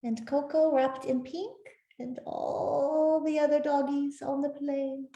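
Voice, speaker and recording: A woman reading a picture book aloud to children in a gentle, expressive storytelling manner. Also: high voice, slow pace, muffled recording. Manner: gentle, expressive, with soft asides to listeners. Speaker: author reading her own picture book. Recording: roomy, echoey sound.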